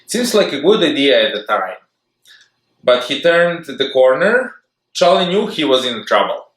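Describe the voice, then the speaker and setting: Expressive man reading a children's book. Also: medium pitch, normal speed. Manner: lively, expressive sing-song reading. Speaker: storybook reader. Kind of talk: children's story read-aloud. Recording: echoey room.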